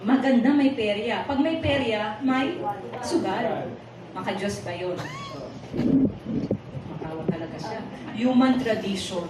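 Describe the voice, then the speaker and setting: A Filipino-accented woman preaches in a chatty, teasing way, tossing questions at her listeners. Her voice is fairly high. It comes from a distance in a very echoey hall. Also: measured pace, pauses often. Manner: animated and teasing, puts rhetorical questions to the congregation. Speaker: preacher at a Sunday worship service. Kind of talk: conversational sermon mixing Tagalog and English. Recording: echoey hall from far off, noisy.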